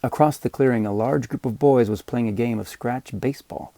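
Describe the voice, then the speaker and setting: A man narrating scripted fiction as an audiobook reader, with measured, dramatic storytelling. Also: medium pitch, creaky, warm tone, dry recording.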